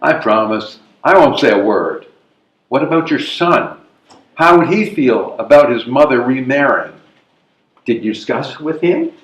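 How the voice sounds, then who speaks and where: A man's voice: mid-range voice, animated, measured pace, lightly acted. A man playing a hesitant, fretful elderly widower in a readers theatre comedy, protesting and questioning. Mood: uneasy. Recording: echoey live room recording.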